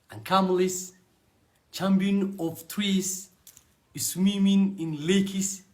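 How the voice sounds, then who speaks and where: A young man with a Somali accent, a native Somali speaker, giving an earnest personal testimonial about his network marketing business in short halting phrases. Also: fairly high pitch.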